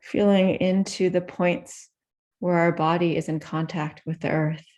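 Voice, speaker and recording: A woman leading a guided meditation in a gentle, unhurried manner with soft pauses between phrases. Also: lowish voice, steady tone.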